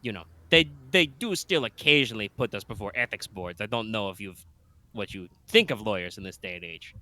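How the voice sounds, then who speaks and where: A man voicing a suave male career defense lawyer in a tabletop roleplaying game, smooth and wry. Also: fairly low pitch, expressive, brisk, bright, forceful tone.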